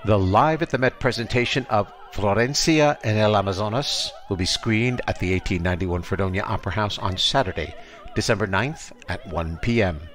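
A man's voice: fairly low pitch, a little fast, a bit bright, soft background music. An older man hosting an arts podcast, moving between interview conversation and scripted announcements. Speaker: podcast host.

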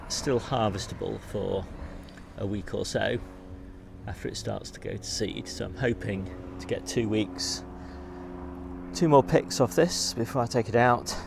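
A middle-aged man giving a casual, unscripted garden tour in an understated way, musing aloud as he walks outdoors. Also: lowish voice. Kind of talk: casual unscripted vlog narration while walking. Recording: clear outdoor background noise.

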